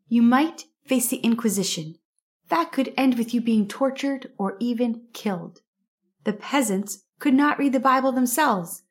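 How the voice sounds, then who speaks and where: A woman hosting a church history podcast, narrating in a steady storytelling way, a little hoarse from a cold. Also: on the high side.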